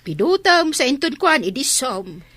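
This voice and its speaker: A woman's voice: sits high, sing-song, unhurried, fairly bright tone, no room sound, full character voice. A middle-aged woman playing a nagging, scolding mother of a grown daughter in a radio drama. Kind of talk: Ilocano radio drama acting.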